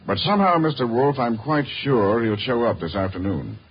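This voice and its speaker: An actor playing an anxious, educated doctor in a 1950s radio drama, speaking formally and with some hesitation. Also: male voice, mid-range voice, big pitch swings, moderate pace, slightly rough. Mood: uneasy, insistent. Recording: muffled old radio broadcast.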